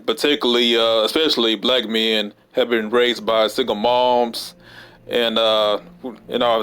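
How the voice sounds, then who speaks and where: A man records a casual vlog during downtime at work, his voice hoarse from a cold. He stops and restarts often, leaning on fillers as he thinks aloud. Underneath, the tone stays smooth and resonant at an ordinary pitch. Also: fairly bright tone. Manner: halting, many fillers and restarts. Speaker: vlogger. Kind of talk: casual rambling monologue.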